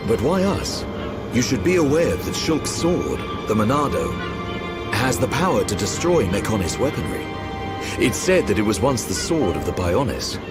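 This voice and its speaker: Grave, animated warrior played by a man. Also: medium pitch, normal speed, natural voice. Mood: wary, serious. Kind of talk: scripted video game drama acting. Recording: game audio with music bed.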